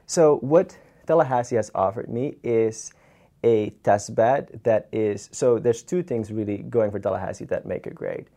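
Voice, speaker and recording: A young man from Suriname, a native Dutch speaker, calmly explaining his startup work in an interview. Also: fairly low pitch, normal speed, creaky. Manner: relaxed, explanatory, frequent um fillers. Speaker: startup founder. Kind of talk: interview answers.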